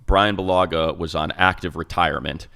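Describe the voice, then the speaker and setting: A man with a low, smooth and resonant voice talks football on a team podcast. He runs through numbers fast, cuts himself off and circles back to his point in a loose, casual way. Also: fairly even intonation, bright, forceful tone. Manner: fast, stat-heavy, self-interrupting. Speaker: radio play-by-play announcer, co-host. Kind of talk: casual sports talk.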